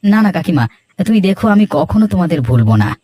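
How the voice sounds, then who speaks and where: A man's voice: on the high side, sing-song, fast talker, warm tone, no room sound, studio quality. A man voicing an idle, carefree, argumentative village son with a grumbling, dismissive manner in a cartoon. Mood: friendly, familiar. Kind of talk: Bengali cartoon dialogue.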